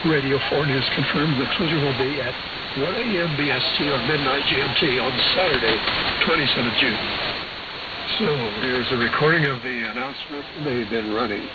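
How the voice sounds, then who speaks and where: A man presenting a radio programme, reading scripted news and listener reports in a measured, matter-of-fact way over shortwave radio. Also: medium pitch. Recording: hissy, dull AM shortwave radio reception.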